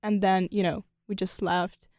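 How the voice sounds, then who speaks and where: A woman's voice: mid-range voice, level tone, unhurried, darkish tone, no echo, clean studio sound. A young woman from Ukraine with a Ukrainian accent, a podcast guest talking casually with lots of "you know" and "like". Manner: chatty, many fillers like "you know" and "like". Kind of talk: casual conversational interview.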